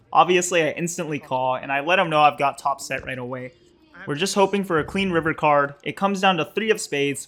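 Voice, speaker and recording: A man's voice: a little high, pushed and bright. A man in his twenties giving a casual, scripted poker vlog voice-over in a matter-of-fact, explanatory way. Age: 20s. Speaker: poker vlogger and host.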